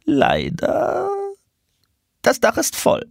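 Man doing a fussy high pigeon official. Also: wildly swooping pitch, very slow, vocal fry, no echo, studio quality, exaggerated voice. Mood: officious. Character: stern pompous grey-blue male pigeon official.

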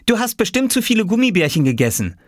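A bossy little boy from a children's storybook, acted out by a man reader. The voice sits well above an adult man's, lively and swooping. He is earnest and self-important, commanding like a big brother. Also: sits high, quick pace, no echo, clean studio sound, pitched above the performer's own voice.

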